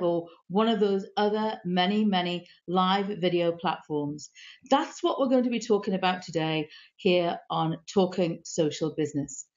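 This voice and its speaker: British woman, live-stream host, mid pitch, lo-fi audio. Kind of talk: live stream talk show.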